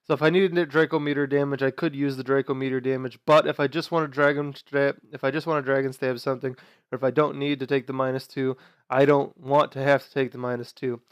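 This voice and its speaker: A young man hosting a gaming video, talking casually through his team choices while sick, sounding nasal and quieter than usual. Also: mid-range voice, little pitch movement, fast, clear, resonant tone, no room sound. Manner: chatty, explains team choices with fillers and restarts. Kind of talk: casual gameplay commentary.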